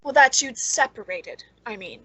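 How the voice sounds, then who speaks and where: A woman's voice: on the high side, animated, normal speed, bright, forceful tone, breathy edge, no room sound, heavily acted, rough recording. An actress playing a lonely, eager, nervously polite woman in an old-time radio suspense drama, hesitant and genteel. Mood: uneasy, probing.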